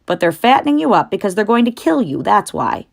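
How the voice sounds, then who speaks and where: Woman as a blunt, snappish old sheep, pitched up. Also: big pitch swings, fairly quick, creaky. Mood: ominous. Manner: blunt, matter-of-fact, snappish.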